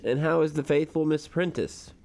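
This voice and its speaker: A man acting an urbane, devoted, gently pedantic husband with a wordy, courtly way of talking in a radio comedy. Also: on the high side, normal speed, vocal fry. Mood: genial.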